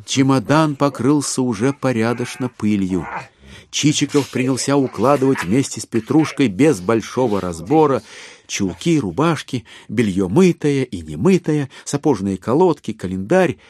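A man narrating a literary audio drama in a measured, expressive storytelling manner with deliberate pauses. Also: mid-range voice. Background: music with violin.

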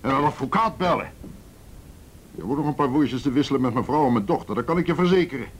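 A man's voice: mid-range voice, animated, quick pace, close to an everyday voice. An actor in a radio play playing a defiant, blustering businessman, belligerent and sneering, who stammers when cornered. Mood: defiant, threatening. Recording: faintly noisy radio drama recording.

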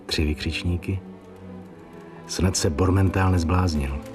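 Low-pitched male writer played by an actor, radio drama, curious and wry, muttering fragments and asides to himself. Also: lightly acted. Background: faint music.

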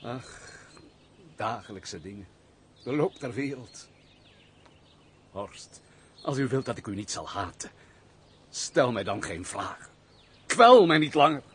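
An actor playing a wounded, gruff nobleman who shuns mankind, speaking formally and theatrically in a radio drama. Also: medium pitch, sing-song, slow, pauses often, fairly bright tone, a little hiss or hum. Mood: bitter, weary. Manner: formal, theatrical, sorrowful storytelling. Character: bitter, wounded nobleman turned gruff reclusive misanthrope. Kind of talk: old radio drama acting.

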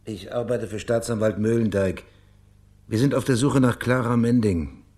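A man's voice: mid-range voice, normal speed, dark tone, lightly acted. An actor playing a wry, laconic private investigator and former policeman in a radio crime drama. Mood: businesslike. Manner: dry, laconic, understated. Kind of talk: radio crime drama acting with narrated inner monologue.

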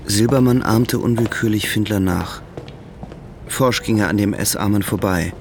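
An actor playing the sober third-person narrator of a radio drama, reading scripted storytelling. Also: male voice, lowish voice, moderate pace, noisy background, lightly acted. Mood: sober, narrating.

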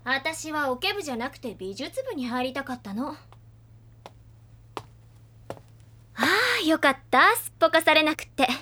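A woman's voice: sits high, animated, brisk, sharp tone, slight background noise. An actress playing a confident, teasing schoolgirl painter in a Japanese audio drama, self-assured and playfully theatrical. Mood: smug, teasing.

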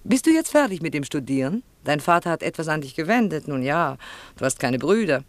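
A woman's voice: low-pitched, very animated, fairly quick, no echo. An actress playing a nosy, mocking village businesswoman in a German radio play. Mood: prying, scornful. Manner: mocking, prying. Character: nosy, sharp-tongued village businesswoman gossiping and needling.

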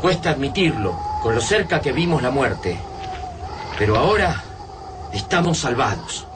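A man's voice: mid-range voice, very animated, unhurried, noisy background. An actor with an Argentine accent playing a tense male survivor in a radio drama, urgent and analytical. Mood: reflective. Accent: Argentine Spanish. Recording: radio drama with music, a bit distant.

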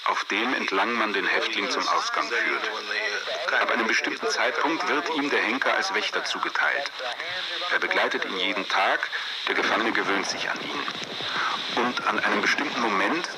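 Low sober man amid loud noise. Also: normal speed. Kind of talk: sober spoken account, voice-over. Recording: very noisy background.

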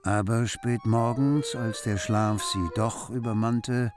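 A man's voice: mid-range voice, fairly even intonation, takes it slowly, close to an everyday voice. A man giving scripted literary narration as the storyteller of a radio play. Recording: music behind the voice. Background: music.

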